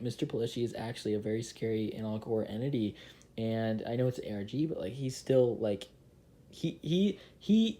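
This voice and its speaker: A young man hosting a casual countdown commentary, emphatic and repeating words for stress. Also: lowish voice. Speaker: video host. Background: loud music with guitar.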